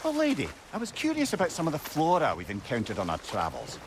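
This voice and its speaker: An actor playing a talkative, clever old companion in a video game, with an inquisitive, excitable manner. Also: male voice, fairly high pitch, fast, background noise. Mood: curious, excitable. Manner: chatty, inquisitive, animated. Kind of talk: dramatic video game acting.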